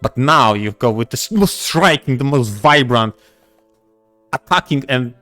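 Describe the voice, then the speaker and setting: A man talking casually as a podcast co-host, a little halting, with emphatic repeated phrasing. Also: medium pitch, lively intonation, bright, forceful tone, faint music behind.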